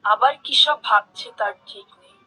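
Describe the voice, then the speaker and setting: A village housewife in a cartoon, voiced by an actress, watchful and prone to nag. She has a high, bright voice. Her delivery is questioning and domestic. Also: normal speed, slightly rough, soft background music, exaggerated voice, low-quality recording. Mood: suspicious, puzzled. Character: suspicious village housewife, shopkeeper's wife. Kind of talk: cartoon character acting.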